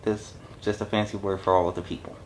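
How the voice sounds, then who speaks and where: A man's voice: low-pitched, flat delivery, quick pace, very noisy. A man reading a storybook aloud as host, adding conversational, explanatory asides in a casual style.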